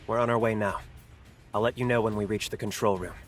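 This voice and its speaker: A man's voice: fairly low pitch, fairly even intonation, fast talker, lightly acted. A man playing a resolute guarded fighter. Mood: upbeat. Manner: earnest, reflective. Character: resolute young male fighter, quietly guarded. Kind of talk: game cutscene voice acting. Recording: faint music score behind the voice.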